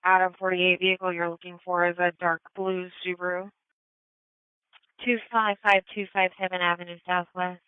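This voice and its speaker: A woman's voice: lowish voice, level tone, moderate pace, smooth, dry recording. A woman working as a police dispatcher, giving clipped, procedural calls with codes and addresses over a radio. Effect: police radio transmission. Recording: tinny narrow-band police scanner radio.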